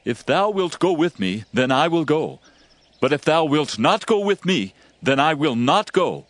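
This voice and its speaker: An actor playing an earnest military leader of ancient Israel, declaiming archaic scripture in a dramatized reading. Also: male voice, a little high, very animated, measured pace, no room sound. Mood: firm, hesitant.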